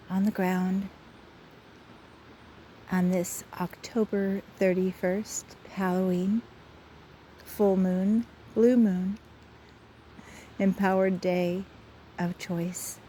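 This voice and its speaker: Slow, mellow woman guiding a meditation. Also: medium pitch, little pitch movement, poor audio. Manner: soothing, measured, with frequent pauses. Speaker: guided imagery host and soul coach. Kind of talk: guided meditation talk. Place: outdoors by a river. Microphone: phone. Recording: faint outdoor ambient noise. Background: faint river ambience.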